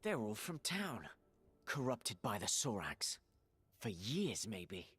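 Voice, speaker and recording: A man playing a grim, urgent adventurer leading his party in a fantasy game, terse and decisive, with a husky voice. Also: on the low side, big pitch swings, slow, a bit bright, heavily acted. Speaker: game character, leader of adventurers. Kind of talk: fantasy video game cutscene acting.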